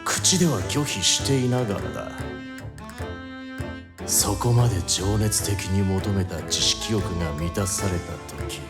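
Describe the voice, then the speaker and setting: Man playing slow, low, menacing villain. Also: natural voice. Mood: coolly taunting. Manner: deliberate, taunting, formal. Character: menacing, knowing male villain who taunts with questions. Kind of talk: audio drama acting. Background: prominent music.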